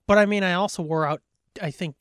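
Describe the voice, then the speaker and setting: A man's voice: high-pitched, fast, a bit bright, clean tone, dry recording, clean studio sound. An American man trading casual, joking banter as a podcast co-host. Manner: joking, quipping.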